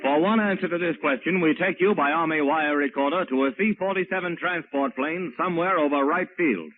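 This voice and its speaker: A tinny, narrowband old radio broadcast with music behind a male American announcer. His voice sits fairly high for a man, and he delivers scripted 1940s military program narration in a formal, declamatory way. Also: fairly quick.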